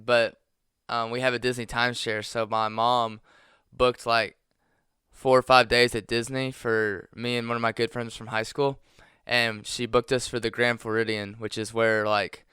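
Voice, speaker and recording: A man's voice: medium pitch, bright, forceful tone, smooth, dry recording, clean studio sound. A man in his twenties co-hosting a casual podcast chat, rambling with fillers and laughing often. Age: 20s. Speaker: podcast co-host.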